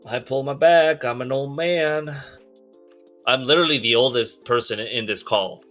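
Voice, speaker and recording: A man in his twenties joins a casual podcast chat with a bright, tense edge to his mid-range voice. He talks loosely and laid-back, with plenty of fillers and casual swearing. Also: medium pitch, moderate pace, soft background music. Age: 20s. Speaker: podcast guest. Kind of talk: casual chat on a group call.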